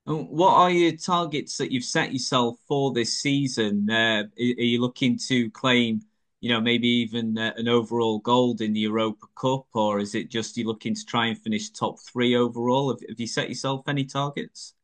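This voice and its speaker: An English man interviewing an athlete, friendly and pausing often with "uh". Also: medium pitch, steady tone, a bit bright. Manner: friendly, hesitant with many "uh" fillers. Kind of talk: interview questions.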